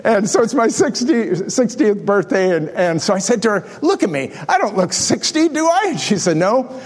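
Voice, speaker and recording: A man in his sixties delivers a sermon, moving from scripture reading to self-deprecating stories. His voice sits high for a man. Long reverb from the hall trails each phrase. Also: sing-song, moderate pace. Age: 60s. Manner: storytelling, self-deprecating humor. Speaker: pastor.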